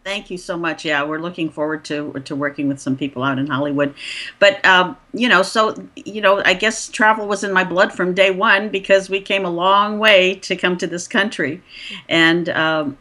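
A woman's voice: lowish voice, steady tone, normal speed, sharp tone. Older lady guest on a podcast, chatty, lots of ums, telling a story. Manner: storytelling, many fillers and restarts. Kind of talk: conversational interview.

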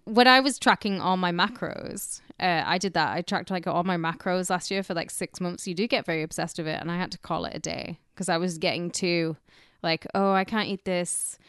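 A woman's voice: on the low side, fairly quick, a bit bright, clean tone, no echo, clean studio sound. A woman co-hosting a casual comedy podcast, talking bluntly and swearing freely. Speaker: podcast co-host.

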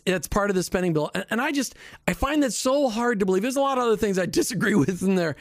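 Male radio host, high voice, enthusiastic and chatty, dry studio sound. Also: no echo. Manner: enthusiastic, chatty, stumbles on starts. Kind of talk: casual radio talk.

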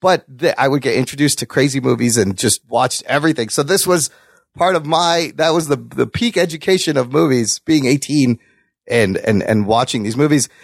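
A man's voice: medium pitch, moderate pace, vocal fry, no echo, clean studio sound. A man in his forties co-hosting a podcast, chatting casually about films with profane banter. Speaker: podcast co-host. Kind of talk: casual film chat.

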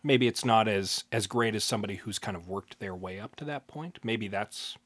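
A man's voice: on the low side, moderate pace, a bit bright, no room sound. A man co-hosting a podcast in casual chat, speaking in a dry, matter-of-fact way. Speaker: podcast co-host.

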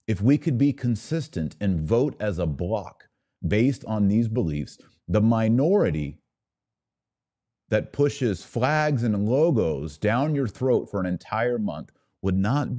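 A man's voice: on the low side, mellow. A man hosting a podcast, delivering an impassioned political monologue in an emphatic, rhetorical way with repeated phrases for stress. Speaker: podcast host and political commentator.

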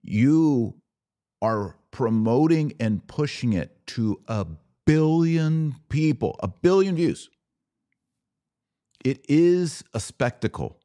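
A man's voice: medium pitch, lively intonation, slow, mellow. A middle-aged American man hosting a podcast, giving emphatic, argumentative commentary with rhetorical questions. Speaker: podcast host. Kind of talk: opinion commentary on played clips.

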